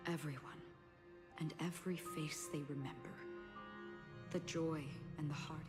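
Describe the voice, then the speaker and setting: A woman playing a solemn, gentle and enigmatic guide in a video game, speaking softly and breathily in measured, philosophical phrases. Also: sits low, fairly even intonation. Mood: gravely questioning. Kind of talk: video game story dialogue acting. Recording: loud music under the voice.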